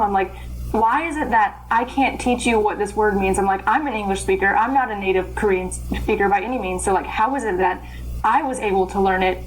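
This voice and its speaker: Animated young woman, chatty and rambling. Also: medium pitch, a little hiss or hum, poor audio. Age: young adult. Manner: animated, rambling, laughs often. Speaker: interpreter guest. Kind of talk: casual interview chat.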